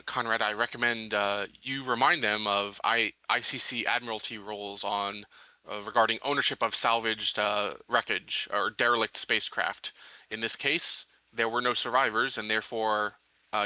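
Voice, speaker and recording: Male tabletop player, very bright forceful tone, dry sound, measured and halting. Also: on the low side, steady tone, clean tone, dry recording, rough recording. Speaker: player in a tabletop roleplaying game. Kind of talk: deliberating game-table talk.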